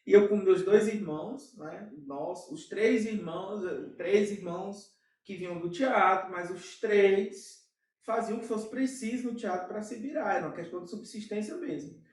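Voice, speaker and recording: Brazilian guy chatting in an interview, animated, lots of asides, sounds far from the mic, really echoey room. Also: high-pitched. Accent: Brazilian Portuguese. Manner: animated, with frequent fillers and quick asides. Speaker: interview guest, entrepreneur. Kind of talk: casual storytelling in an interview.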